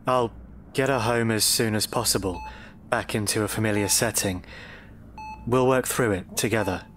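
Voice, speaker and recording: A man playing a worried, devoted middle-aged husband in a radio drama, speaking earnestly and quietly subdued. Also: on the low side, normal speed, slight background noise, natural voice. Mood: anxious, subdued.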